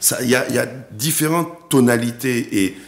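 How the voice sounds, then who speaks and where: A man from Martinique, an actor and director, talking as a guest in a TV interview, with halting speech full of repeats and restarts. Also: medium pitch, reverberant.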